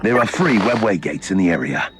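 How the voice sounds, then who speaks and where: An actor playing a sly, smooth-talking inquisitor ally in a sci-fi video game, wry and confiding in manner. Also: male voice, on the low side, animated, a little fast, over music, lightly acted. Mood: cautioning. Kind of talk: dramatic video game dialogue.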